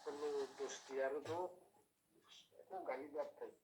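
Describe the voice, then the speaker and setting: A man's voice: medium pitch, flat delivery, a little slow. A man in a casual phone conversation, answering a prank call in a puzzled, curt way. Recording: distant, noisy phone call audio.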